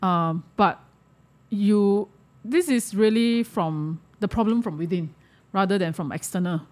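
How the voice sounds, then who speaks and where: A woman with a Malaysian accent in casual discussion, speaking haltingly and often checking in with the listener. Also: medium pitch, a little mellow. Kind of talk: casual discussion of social issues.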